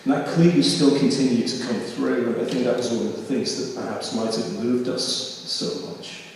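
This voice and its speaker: A man giving reflective academic commentary, choosing his words carefully with hesitant pauses. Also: medium pitch. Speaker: discussion participant. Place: large reverberant room at a public discussion. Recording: echoey hall from far off, faint noise.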